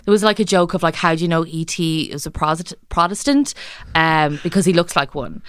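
A woman's voice: low voice, expressive, a little fast, clear, resonant tone, dry recording, clean studio sound. A woman chatting as a podcast guest, laughing often and full of likes and restarts. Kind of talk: casual chat.

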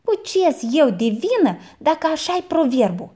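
A woman's voice: sits high, very animated, mellow, pitched above the performer's own voice. A woman voicing a sly, cheeky twelve-year-old orphan boy who plays dumb and innocent.

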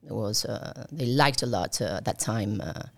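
Creaky-voiced woman, halting and hesitant. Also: very deep voice, lively intonation. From Colombia. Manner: hesitant, many fillers and restarts. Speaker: interview guest. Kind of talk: casual conversational interview.

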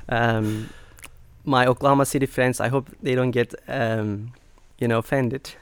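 A middle-aged man from Turkey, speaking as an interview guest in a halting way with many fillers. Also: mid-range voice, fairly even intonation, clean tone, no room sound. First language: Turkish. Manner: hesitant, many fillers and restarts. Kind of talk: conversational interview.

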